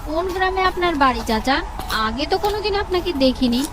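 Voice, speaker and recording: A woman playing a kind, hard-up village mother in an animated story, gentle and concerned in manner. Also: extremely high pitch, fast talker. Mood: concerned, questioning. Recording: music under the voice.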